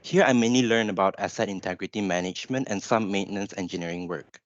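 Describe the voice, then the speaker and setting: Man, twenties, Malaysian accent, low pitch, informal explainer, webinar presentation, lo-fi sound. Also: quick pace, no room sound. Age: 20s. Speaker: graduate engineer presenter.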